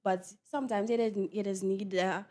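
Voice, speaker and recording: A woman's voice: medium pitch, fairly even intonation, moderate pace, a little mellow. A woman with a Trinidadian accent talking casually and candidly as an actress being interviewed.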